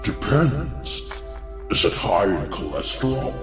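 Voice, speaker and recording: A man's voice: lowish voice, sing-song, slow, reverberant, soft background music. A man voicing a taunting, hungry, sarcastic dragon in a theatrical cartoon parody. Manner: theatrical, gloating. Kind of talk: cartoon gag-dub parody.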